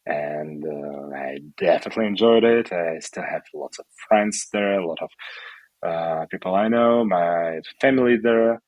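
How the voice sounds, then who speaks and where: Low-voiced man from Ukraine, dry sound. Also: a little slow, darkish tone, dry recording. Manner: thoughtful, searches for words in English. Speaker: guest. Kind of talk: podcast interview.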